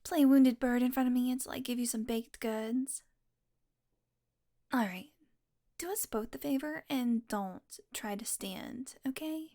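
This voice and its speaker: A woman's voice: fairly high pitch, unhurried, warm tone. A woman voicing a teasing, possessive vampire who dotes on her prey, speaking intimately and smugly to the listener in an audio roleplay. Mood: gentle, amused. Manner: smug, flirtatious teasing with mock annoyance.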